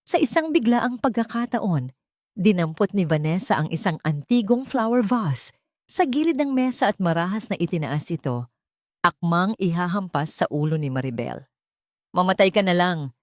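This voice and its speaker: A woman's voice: lowish voice, expressive, moderate pace, no room sound, studio quality. A woman narrating a scripted story in a dramatic, emotive and solemn way.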